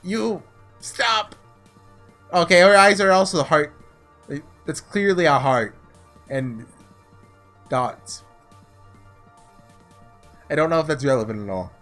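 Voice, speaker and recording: A man's voice: a little high, measured pace, pauses often, a bit bright, soft background music. A man doing let's-play commentary, reading a visual novel aloud dramatically and tossing in offhand, sarcastic asides. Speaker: gaming host reading aloud and reacting.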